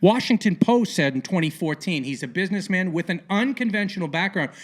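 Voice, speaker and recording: A man hosting a casual podcast interview in a colloquial, theatrical way with punchy, emphatic phrasing and a gravelly voice. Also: high-pitched, expressive, a little fast, a little hiss or hum. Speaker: podcast host.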